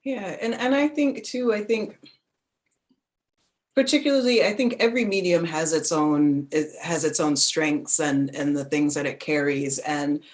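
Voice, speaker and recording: A woman talking informally and reflectively as a performer on a panel, searching for words in an animated way. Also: sits low, a little slow.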